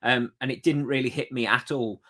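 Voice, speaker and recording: A man's voice: medium pitch, steady tone, brisk, fairly bright tone. A man hosting a podcast, chatting in a friendly, easygoing way. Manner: friendly, chatty, a few fillers. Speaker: podcast host. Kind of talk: casual chat.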